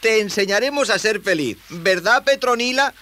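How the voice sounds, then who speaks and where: A man voicing an eager, kindly young boy in a children's story, with an excitable, friendly manner. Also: sits high, moderate pace, voice pushed up.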